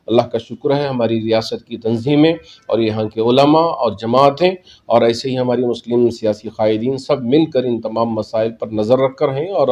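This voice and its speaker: Male cleric, dark soft-edged tone, measured formal delivery, public appeal. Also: mid-range voice, highs cut off. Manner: measured, formal, Arabic-laced religious Urdu phrasing. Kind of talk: spoken public statement to community.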